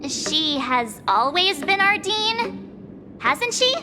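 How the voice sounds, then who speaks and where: A young woman voicing a chatty, friendly schoolgirl classmate in an anime-style game, expressive and a little hesitant. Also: extremely high pitch, takes it slowly, background noise, exaggerated voice. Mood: concerned, puzzled. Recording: music behind the voice. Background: game music.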